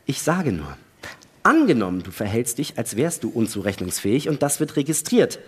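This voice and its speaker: A man acting a wary, sarcastic astronaut in a dramatic radio play, argumentative and pointed, with a husky voice. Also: mid-range voice, big pitch swings, moderate pace. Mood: exasperated. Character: wary, sarcastic male astronaut arguing with his crewmate. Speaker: actor in a radio play reading. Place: large hall, live staged reading. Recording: reverberant stage hall sound.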